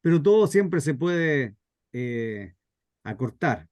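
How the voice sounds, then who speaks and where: A man's voice: a little high, sing-song, takes it slowly, a little mellow, no room sound. A man with a Chilean accent explaining things informally, as the teacher of a workshop. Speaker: scriptwriter leading a radio drama workshop.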